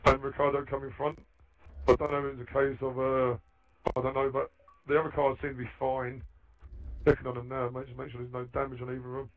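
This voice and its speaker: A man's voice: mid-range voice, level tone, brisk, low-quality recording. A man giving casual live commentary as a co-commentator on an online race.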